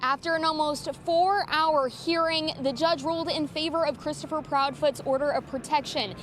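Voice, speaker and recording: Female TV reporter, high voice, scripted field report, slight outdoor traffic noise. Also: fairly even intonation, normal speed, a bit bright. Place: outdoors outside a courthouse.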